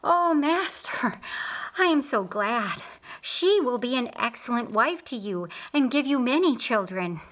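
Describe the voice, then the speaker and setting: A woman's voice: on the high side, animated, a little slow, a bit bright, pitched above the performer's own voice. A woman voicing a humble, devoted servant mother in an audiobook, deferential and emphatic. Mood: joyful.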